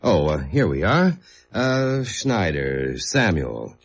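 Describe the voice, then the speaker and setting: A man's voice: sits low, big pitch swings, slow, heavily acted. A man playing a genial, self-important company head with formal, polite manners in a mid-century radio drama. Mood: businesslike. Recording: dull old radio recording.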